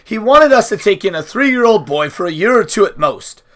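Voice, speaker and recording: A man's voice: sits high, sing-song, moderate pace, fairly bright tone, pitched above the performer's own voice. Man acting a careful, apologetic manservant. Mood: careful, anxious. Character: careful, deferential manservant confessing to his lord.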